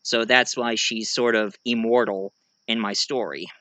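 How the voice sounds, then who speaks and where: An American man giving a casual show-and-tell monologue, rambling and halting as he corrects himself along the way. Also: on the low side, steady tone, bright, forceful tone, no room sound. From United States. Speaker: amateur reviewer and story writer presenting own work.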